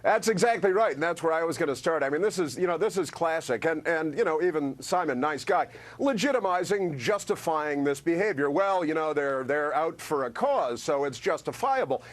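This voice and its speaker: A man's voice: fairly high pitch, animated, a little fast, hardly any pauses. A male radio host arguing emphatically on a news panel. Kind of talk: panel debate.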